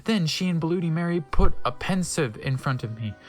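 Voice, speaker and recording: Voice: mid-range voice, animated, moderate pace, faint music behind. A narrator giving a theatrical, tongue-in-cheek comic reading of fan fiction. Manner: theatrical, with mocking asides.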